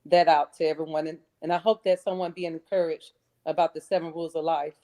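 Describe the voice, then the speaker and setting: A woman's voice: sits low, flat delivery, fast, mellow. An earnest, polite woman calling in by phone to audition on a live online stream. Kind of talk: casual chat, then motivational recitation. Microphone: phone on a streaming call.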